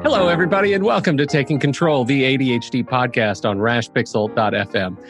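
A man hosting a podcast in a casual, chatty way, expressive and playfully dramatic in his emphasis. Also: mid-range voice, fast, over loud music. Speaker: podcast host.

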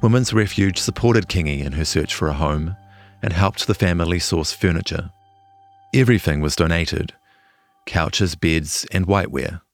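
Deep-voiced New Zealand man reading over music. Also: normal speed. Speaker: journalist reading own feature story. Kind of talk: scripted longform narration. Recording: music behind the voice.

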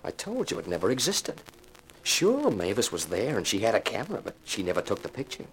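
A hard-boiled detective from a 1950s radio mystery. The voice sits low, with a dry, sardonic, clipped way of putting things. Faint noise of an old recording surrounds it. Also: male voice, wildly swooping pitch, a little fast. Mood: cool, goading. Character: tough, wry male private detective, cool under threat. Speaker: actor and narrator. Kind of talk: 1950s radio crime drama acting. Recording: noisy vintage radio recording.

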